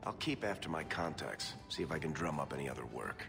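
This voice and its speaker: An actor playing a roguish, easygoing dwarf storyteller in a video game, with wry assurance. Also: male voice, rumbling low, animated, fairly quick. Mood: easygoing, confiding. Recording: game music behind the voice.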